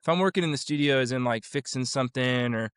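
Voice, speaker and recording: A man in his forties from Florida, speaking as a guest on an interview show. His voice is smooth and resonant at a middle pitch. He rambles and restarts as he tells his story, on a dry, close mic. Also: fast talker, fairly bright tone, dry recording. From Florida, USA. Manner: rambling, with many restarts and fillers. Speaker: vocal coach and music producer guest. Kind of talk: casual interview conversation.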